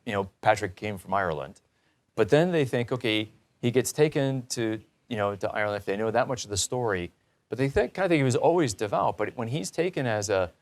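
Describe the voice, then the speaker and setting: A man's voice: fairly low pitch, lively intonation, brisk. A man hosting a conversational interview, speaking in an enthusiastic, emphatic and affirming way. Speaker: talk show host.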